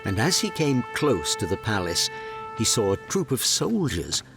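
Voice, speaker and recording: Low, slow male storybook narrator. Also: sing-song, gravelly, over music.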